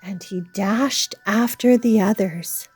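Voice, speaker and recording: A woman reading a children's story aloud as an expressive, dramatic storyteller. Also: mid-range voice, takes it slowly, husky, soft background music. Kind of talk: expressive read-aloud narration.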